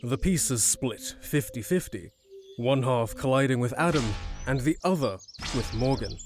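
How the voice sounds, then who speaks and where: A man's voice: medium pitch, animated, unhurried, music in the background. A man narrating a scripted fantasy audio drama as its storyteller.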